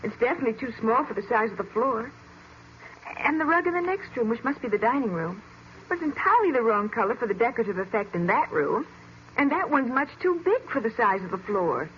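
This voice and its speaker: A woman's voice: fairly high pitch, big pitch swings, a little fast. A woman playing an observant, curious detective's assistant in an old-time radio mystery, chatty and inquisitive. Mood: musing, critical. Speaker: actress in a radio detective drama. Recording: muffled, noisy vintage radio recording.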